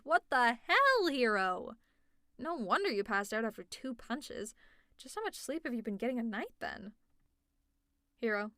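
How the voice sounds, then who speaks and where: A woman's voice: a little high, bright, forceful tone, dry recording, clean studio sound. A woman performing an audio roleplay as a teasing young villainess who scolds and looks after her exhausted rival. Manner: teasing, exasperated and concerned. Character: teasing caring female villain, young adult. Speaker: ASMR roleplay creator.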